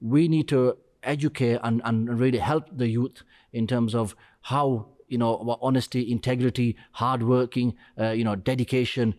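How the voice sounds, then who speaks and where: A middle-aged man being interviewed on a podcast as a restaurant co-founder. He speaks earnestly and haltingly and says "you know" often. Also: mid-range voice, steady tone, a little mellow.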